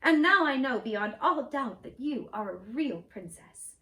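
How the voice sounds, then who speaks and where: A woman reading the lines of a stately, brisk queen in a storybook, in a crisp, composed manner. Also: high voice, animated, bright, forceful tone, heavily acted. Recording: roomy echo, mic at a distance.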